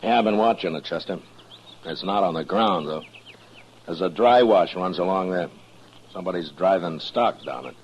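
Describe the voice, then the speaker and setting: An actor playing a weary, wry frontier marshal in a radio western, dry and laconic in manner. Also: male voice, low-pitched, moderate pace, slightly rough. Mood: watchful. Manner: dry, laconic, gently teasing. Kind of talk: 1950s radio western acting. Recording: dull, faintly noisy old radio recording.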